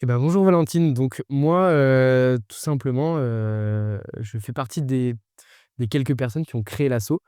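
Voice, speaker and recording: A young man speaks in a relaxed, plain-spoken way during a casual podcast. His voice is smooth and mellow. The sound is close and dry. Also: mid-range voice, a little slow, dry recording. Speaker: association founder.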